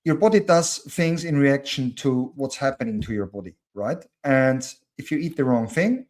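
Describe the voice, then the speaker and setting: Man, German accent, guest, earnest, restarts sentences, middle pitch. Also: lively intonation, moderate pace, darkish tone. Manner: earnest, thinks aloud with repeated starts. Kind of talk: casual conversation.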